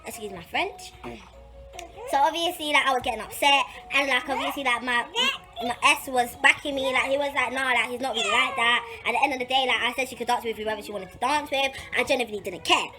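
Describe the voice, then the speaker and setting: High, bright-voiced young London woman. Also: fast, faint music behind. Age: young adult. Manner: animated, slangy, repeats phrases for emphasis. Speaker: vlogger telling a personal story. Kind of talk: casual storytime chat.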